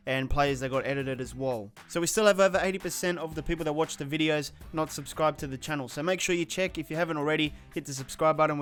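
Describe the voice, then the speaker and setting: A man's voice: fairly high pitch, fairly bright tone, clear, resonant tone. A man hosting a gaming channel with a slight sore throat, running through update stats in a casual, matter-of-fact way. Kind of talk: video game update rundown commentary.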